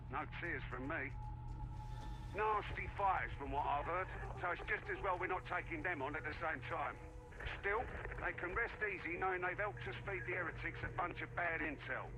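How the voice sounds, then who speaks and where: An actor playing a world-weary military mission handler in a video game, dry, wry and informal. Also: male voice, on the high side, noisy background, natural voice. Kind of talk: video game briefing dialogue.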